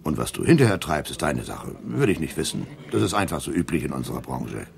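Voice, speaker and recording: Radio drama role: slick club recruiter, rock-bottom pitch, animated delivery, curt and casual. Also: male voice, fairly quick, gravelly, exaggerated voice. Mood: slick, pushy. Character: slick, sleazy nightclub boss recruiting girls.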